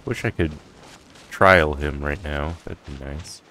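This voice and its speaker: A man's voice: extremely low pitch, takes it slowly, sharp tone, slight background noise. A man giving casual let's-play commentary as a streamer, offhand and rambling to himself. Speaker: gameplay streamer.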